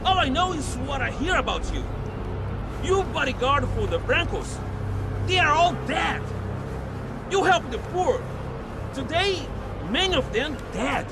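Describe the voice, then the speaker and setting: High, sneering Brazilian villain. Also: male voice, sing-song, a little slow, pauses often, exaggerated voice. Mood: scornful. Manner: mocking, scornful. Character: sneering, sarcastic male villain mocking the hero. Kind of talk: video game cutscene acting. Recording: loud music over the dialogue.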